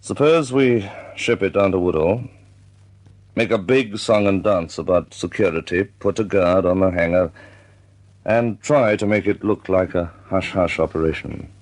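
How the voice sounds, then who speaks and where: A man playing a measured, shrewd senior air force commander in a wartime radio drama. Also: deep voice, a little mellow. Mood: shrewd, wry.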